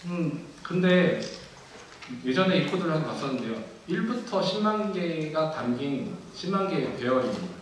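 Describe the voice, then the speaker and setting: A young man giving a technical keynote at a conference, speaking in a measured way with frequent fillers and restarts. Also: on the high side, big pitch swings, a little hiss or hum. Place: large dark auditorium. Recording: echoey hall from far off.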